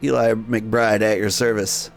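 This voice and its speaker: A man's voice: fairly low pitch, level tone, a little slow, creaky, soft background music. A man voicing an earnest, devout street preacher in a video game, with a declamatory manner.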